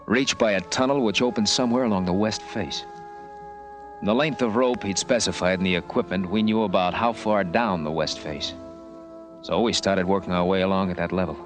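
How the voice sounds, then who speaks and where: Man acting a low-voiced, breezy radio adventure hero. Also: natural voice. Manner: slangy, breezy, tough-talking. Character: brash, wisecracking male adventurer, cajoling and determined. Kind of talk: 1940s-50s radio adventure acting, with first-person narration. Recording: vintage radio drama recording with music behind.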